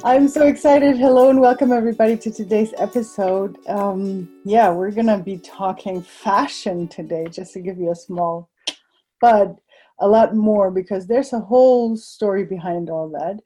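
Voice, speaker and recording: Mellow-voiced enthusiastic podcast host woman. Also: mid-range voice, moderate pace, soft background music, low-quality recording. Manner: enthusiastic, laughs often. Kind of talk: podcast interview.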